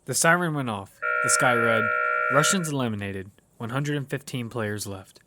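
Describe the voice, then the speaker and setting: A man's voice: mid-range voice, normal speed, heavy background noise, close to an everyday voice. A man narrating an audio fiction survival story in character, as one who tells of a battle. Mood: storytelling.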